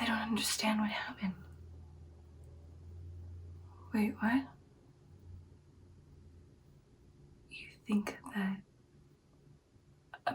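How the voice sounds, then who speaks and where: Lady doing an old vampire girl, caring, asmr roleplay, soft breathy whisper, really slow, sounds a bit far from the mic. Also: mid-range voice, slight background noise, close to an everyday voice. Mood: concerned, puzzled. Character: ancient female vampire, caring, selfless, weakened by hunger.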